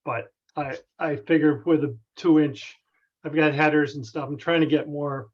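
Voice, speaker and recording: A man with a slightly high voice talks over a dull, lo-fi conference connection. He explains a technical matter casually, haltingly and tentatively, circling back as he goes. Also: steady tone. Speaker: club member asking a technical question. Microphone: conference call connection. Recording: dull, band-limited conference call audio.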